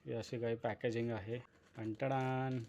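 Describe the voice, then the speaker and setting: A man's voice: mid-range voice, flat delivery, a little slow, a little mellow, a little hiss or hum. A man giving casual, explanatory unboxing commentary as a vlogger, addressing viewers as friends. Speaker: vlogger presenting a product unboxing.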